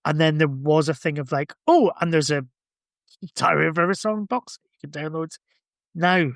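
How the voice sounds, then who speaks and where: A man's voice: fairly high pitch, moderate pace, gravelly, no echo, clean studio sound. A man chatting casually as a podcast guest, informal and a bit sweary. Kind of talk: casual chat.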